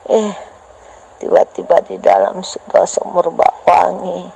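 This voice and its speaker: A woman's voice: lowish voice, big pitch swings, slow, vocal fry, dark tone, a little hiss or hum, poor audio. A woman in a voice message, hesitantly telling a teacher about her personal experiences and asking for advice.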